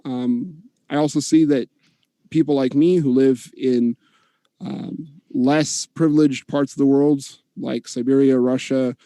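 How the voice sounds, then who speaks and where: A man earnestly giving his opening statement in an online debate, steady with occasional fillers. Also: medium pitch, a little slow, no echo.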